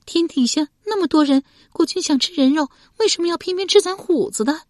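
A woman's voice: very high-pitched, brisk, darkish tone, dry recording, studio quality, voice pushed up. A woman storyteller playing an anxious young mother from ancient times, questioning and pleading with her husband. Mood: tearful, indignant. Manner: anxious, questioning, tearful when protesting. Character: frightened pleading young mother, wife of an ancient court cook.

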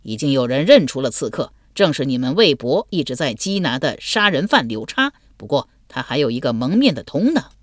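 A woman voicing a commanding, suspicious male general in an audiobook, gruff, sardonic and authoritative. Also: a bass voice, very animated, fairly quick, few pauses, dry recording, studio quality, full character voice. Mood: suspicious, stern. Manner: barking, authoritative.

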